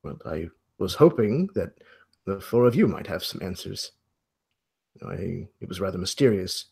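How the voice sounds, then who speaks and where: A man playing an aging, courteous host of a mansion in a tabletop game, speaking in a halting, apologetic and formally polite way. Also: sits low, lively intonation, creaky, mellow, husky, pitched below the performer's own voice, exaggerated voice. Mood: evasive, polite. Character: aging, courteous, flustered old mansion host in plaid suit. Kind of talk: improvised roleplay dialogue.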